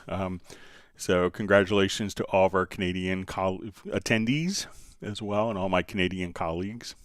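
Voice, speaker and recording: An American man talks casually as the host of an online tutorial stream. His voice sits fairly low and is smooth and clear. He is courteous and a bit rambling, recorded up close with a dry sound. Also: dry recording. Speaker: live stream host, music software specialist. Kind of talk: casual tutorial live stream talk, answering viewer questions.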